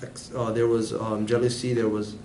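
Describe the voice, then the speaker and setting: A man's voice: lowish voice, flat delivery, normal speed, a little mellow, reverberant, a little hiss or hum. A man giving a prepared class presentation, speaking hesitantly with many filler sounds. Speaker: student presenter.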